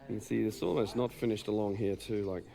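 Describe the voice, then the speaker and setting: A hoarse man with a low, mellow voice chats his way around old stone ruins, casually pointing things out to his viewers. He keeps the commentary loose and conversational. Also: fairly even intonation, quick pace. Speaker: host on a site tour.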